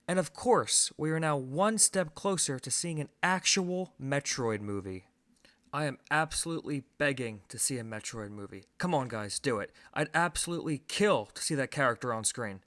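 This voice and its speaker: A man's voice: medium pitch, a bit bright. A man giving a scripted film review as host, conversational and opinionated, with emphatic stresses.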